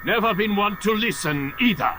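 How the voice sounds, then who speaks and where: A man playing a menacing, manipulative old master in a video game, speaking deliberately with theatrical condescension. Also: sits high, animated. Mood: mocking. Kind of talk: video game cutscene acting. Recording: clearly noisy game audio.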